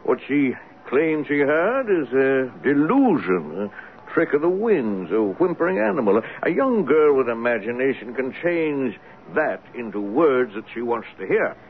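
A skeptical country doctor from a radio drama, formal and gently mocking. His delivery is very animated in pitch, dry and dismissive. The sound is narrow and tinny, with faint noise. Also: unhurried. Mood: dryly dismissive. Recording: tinny, noisy old radio broadcast.

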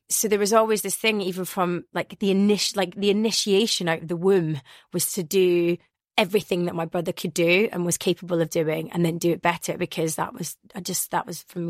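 A Scottish woman in her thirties, a radio DJ chatting about her life in a podcast interview, telling rambling stories with quick self-corrections. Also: lowish voice, no room sound, studio quality. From Glasgow, Scotland. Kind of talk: casual interview storytelling.

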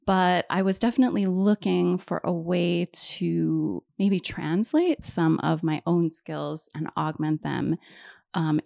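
A woman from Hawaii shares her story as an interview guest in a friendly, reflective way. Her voice is mellow with a gravelly edge and sits slightly low. The recording is dry and close. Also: dry recording. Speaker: pianist and music psychotherapist. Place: backstage dressing room.

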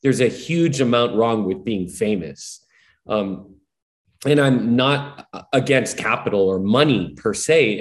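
A man from Hawaii, a musician guest, telling his story in an earnest, rambling way, with many "you know"s. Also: fairly low pitch. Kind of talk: casual reflective storytelling.

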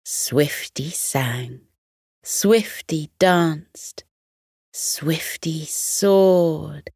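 A woman tells a children's bedtime story at a very slow pace. Her voice sits slightly low, recorded close and dry. The delivery is gentle and expressive. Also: vocal fry, husky, no echo.

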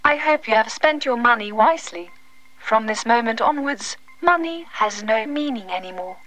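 Formal, high-pitched superintelligent AI. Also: female voice, normal speed, soft background music, poor audio. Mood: detached, superior. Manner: formal, stilted, deadpan. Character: superintelligent AI, female, condescending, calmly menacing, detached. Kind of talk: audio drama monologue, dictated letter.

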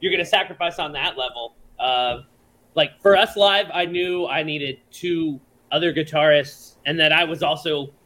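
A man's voice: fairly high pitch, moderate pace, bright, forceful tone, low-quality recording. A man who is a songwriter and performer, chatting casually as a podcast guest, laid-back, swearing freely and laughing often.

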